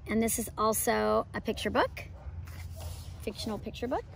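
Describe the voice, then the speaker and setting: An enthusiastic, expressive woman presenting a children's lesson and picture-book read-aloud as a homeschool educator. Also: a little high, normal speed. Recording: clear background noise.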